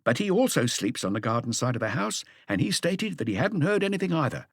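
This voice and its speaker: A man voicing a calm, courteous, cultured wealthy gentleman in his sixties in an audiobook. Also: a little high, brisk, creaky, no room sound, clean studio sound, exaggerated voice. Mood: calm courtesy. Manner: calm, polite, formal.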